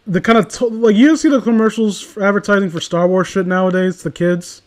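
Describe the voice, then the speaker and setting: High-pitched man ranting casually, rambling and profane. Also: steady tone, fairly quick. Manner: rambling, profane, exasperated opinionated talk.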